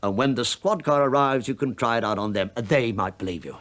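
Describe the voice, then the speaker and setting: A man's voice: mid-range voice, brisk, a bit bright, lightly acted. An actor playing a sly, shabby British investigator in a radio play, sardonic and mock-deferential as he probes. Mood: wry, accusing. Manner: sardonic, mock-deferential, probing, with dry understatement. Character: wry, sly, shabby male investigator posing as police inspector. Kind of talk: radio drama acting.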